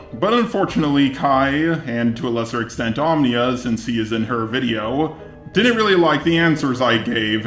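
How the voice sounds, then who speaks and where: A man's voice: mid-range voice, a bit bright. A man delivers scripted commentary as a video host in a synthetic voice. He argues his points with sarcasm, and the sound is roomy, with faint music behind him. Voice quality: synthetic robot voice. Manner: sarcastic, argumentative. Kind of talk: scripted commentary on online drama.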